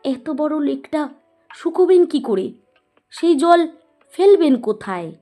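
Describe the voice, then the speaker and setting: A woman's voice: high voice, big pitch swings, slow, warm tone, faint music behind, full character voice. A woman playing a curious, sceptical young history student in an audio story, questioning and wide-eyed. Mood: wide-eyed, worried. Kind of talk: dramatised audio story acting.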